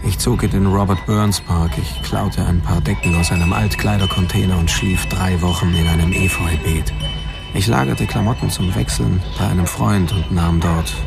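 Gravelly ex-addict over loud music. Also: male voice, low-pitched. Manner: terse, staccato confession. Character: former homeless addict, bluntly confessing his past. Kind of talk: scripted radio drama narration.

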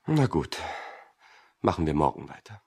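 An actor playing a pedantic, unworldly young office thinker in a German radio drama, dry, exact and over-literal. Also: male voice, rumbling low, big pitch swings, normal speed, vocal fry, a little mellow, slightly rough, exaggerated voice. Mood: flustered.

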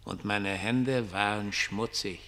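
Ragged dreamer, radio drama, bright forceful voice, long reverb, theatrical, mocking and pitying. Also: male voice, on the low side, takes it slowly, heavily acted. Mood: dreamy, pitying. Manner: theatrical, declamatory. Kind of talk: German radio play acting.

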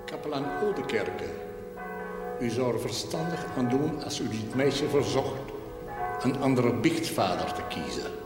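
Male actor as authoritative senior priest, formal, measured, medium pitch, echoey hall, period TV drama. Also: expressive, lightly acted. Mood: stern, formal. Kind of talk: Dutch television period drama acting. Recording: echoey church-like hall, music behind.

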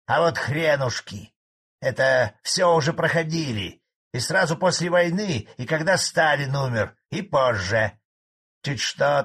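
A man voicing a spiteful old male collective farmer who rants gruffly and defiantly. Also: a little high, fairly bright tone, pitched above the performer's own voice, full character voice.

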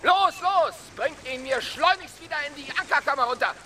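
An actor in a radio play playing a brusque officer who barks curt commands. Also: male voice, very high voice, animated, fairly quick, pushed and bright, a little hiss or hum, exaggerated voice. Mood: barking, contemptuous. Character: brusque, barking officer ordering people about. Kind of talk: German radio play acting.

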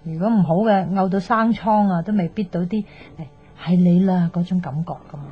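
A woman's voice: fairly low pitch, a little fast, slightly rough. An actress playing an outspoken, teasing young woman who gives love advice, chatty and sighing, with a Hong Kong Cantonese accent in a radio drama. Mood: chatty, rueful. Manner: chatty, blunt, sighing, mock-exasperated. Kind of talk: naturalistic radio drama acting. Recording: slightly dull, music underneath.

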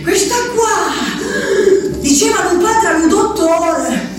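A woman's voice: very high voice, animated, slow pace, full character voice. An actress with an Abruzzo accent playing a woman shut in an asylum, obsessively counting, in a fervent, rambling, repetitive stage monologue, heard from far off on an echoey, noisy stage. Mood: bitter. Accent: Abruzzo Italian.

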